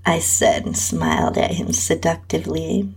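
Female storyteller for intimate erotic fiction, low-pitched and very creaky, with big swings in intonation. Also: very animated, moderate pace. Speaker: host and narrator. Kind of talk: intimate first-person erotic story narration.

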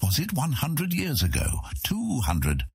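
A man narrating a fantasy audiobook in an expressive, scripted storytelling style. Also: on the high side, a little slow, gravelly, husky, no room sound, clean studio sound.

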